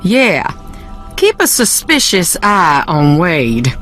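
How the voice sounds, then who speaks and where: A woman's voice: lowish voice, huge pitch swings, slow pace, a bit bright, soft background music, full character voice. An actress playing a guarded, proud young woman in a video game, with a dry, pointed way of talking. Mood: wary, cutting. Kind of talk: video game character dialogue.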